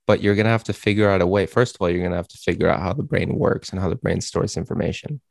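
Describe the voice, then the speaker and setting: A man's voice: low-pitched, steady tone, fast, creaky, no room sound, clean studio sound. A man on a podcast explaining things emphatically, often repeating himself. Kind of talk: casual chat.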